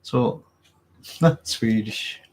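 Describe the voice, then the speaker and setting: Man, Northern Irish accent, live stream host, husky voice, scratchy throat, chatty and meandering. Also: mid-range voice, drawn-out words, a little mellow. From Northern Ireland. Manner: rambling, chatty, frequent fillers and restarts. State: tickly throat, coughing. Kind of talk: casual live chat reading comments.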